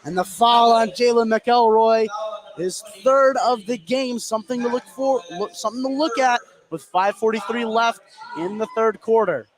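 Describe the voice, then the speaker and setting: A man's voice: very high-pitched, moderate pace, rough recording. A man doing live play-by-play basketball commentary as a radio announcer. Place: indoor gymnasium, courtside. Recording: clear background noise from a gym.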